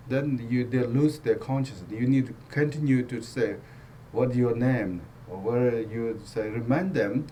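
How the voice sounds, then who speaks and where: Brief: male Buddhist teacher, Tibetan accent, mellow voice, plain broken English, light hiss behind. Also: medium pitch, low-quality recording. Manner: broken English, repetitive, gently joking. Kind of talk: dharma teaching talk.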